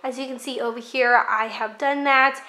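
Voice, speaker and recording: A woman's voice: a little high, steady tone, moderate pace, sharp tone. A young woman casually narrating a craft project on her home channel, chatty and upbeat with light laughs.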